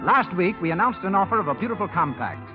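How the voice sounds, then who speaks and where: Animated high-pitched 1930s radio announcer. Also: male voice, quick pace, over music. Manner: polished, declamatory. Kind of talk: formal 1930s radio announcing and sponsor message. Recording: dull old 1930s radio recording.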